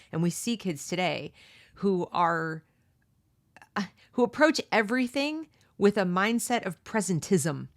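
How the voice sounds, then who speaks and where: A middle-aged woman hosting a read-along book discussion, giving opinionated commentary and asking rhetorical questions. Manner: mocks others with high exaggerated voices.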